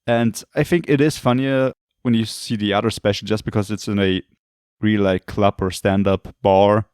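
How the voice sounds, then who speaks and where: A slightly hoarse man co-hosting a podcast, talking in a rambling, hesitant way and often correcting himself. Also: fairly low pitch, steady tone, normal speed, darkish tone, dry recording, clean studio sound. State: losing his voice, throat clearing. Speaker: podcast co-host. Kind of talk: casual chat.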